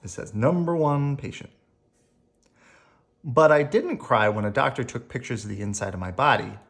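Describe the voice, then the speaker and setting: An adult reading a picture book aloud to children in an expressive storytelling style. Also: mid-range voice, brisk, vocal fry.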